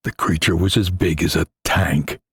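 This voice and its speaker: A man reading a suspenseful adventure story as an audiobook narrator, in a grave, deliberate, dramatic manner. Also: sits low, steady tone, slightly rough, no echo.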